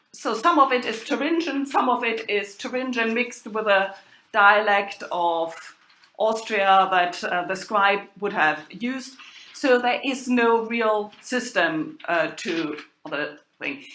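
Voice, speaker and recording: An echoey, roomy recording of a woman giving an academic lecture. She talks slowly and haltingly, often stopping on filled pauses as she explains her material. Her pitch sits in the middle range for a woman. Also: fairly bright tone. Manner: halting, hesitant delivery with frequent filled pauses. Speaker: university lecturer in medieval German literature.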